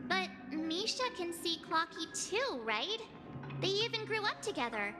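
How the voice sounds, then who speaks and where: A woman's voice: very high-pitched, big pitch swings, a little slow, big-room sound, over loud music, heavily acted. A woman voicing a young, innocent, curious companion in a video game. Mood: curious, surprised.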